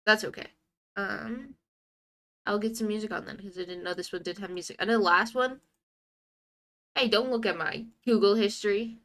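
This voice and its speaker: A woman's voice: medium pitch, moderate pace, long pauses, fairly bright tone. A young woman with a congested nose chatting casually on a game stream, drifting into muttered asides to herself. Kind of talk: casual live stream chat while playing a game.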